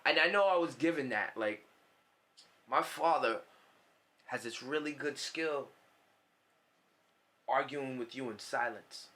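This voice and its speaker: A man's voice: medium pitch, unhurried, pauses often. A young man hosting a casual podcast, telling stories with lots of swearing and acted-out quotes. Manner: animated storytelling, heavy swearing, acts out quotes. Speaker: podcast host. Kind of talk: casual chat with an old friend.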